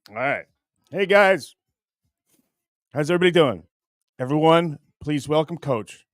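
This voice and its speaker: A man hosting a casual comedy show, talking straight to viewers in a halting, stop-and-start way. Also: on the high side, expressive, no echo, clean studio sound. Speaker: show host introducing a puppet bit.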